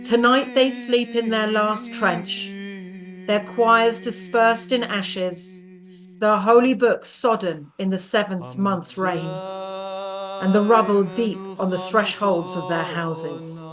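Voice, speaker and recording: A woman playing a reverent mourner, giving a solemn, measured poetic recitation as a stage eulogy. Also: mid-range voice, lightly acted, low-quality recording. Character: reverent female mourner eulogizing the war dead.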